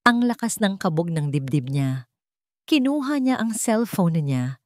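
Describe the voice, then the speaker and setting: Female narrator for romantic audio fiction, lowish register, very animated delivery. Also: a little slow, dry recording, clean studio sound. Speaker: story narrator. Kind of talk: dramatic scripted narration of a romance story.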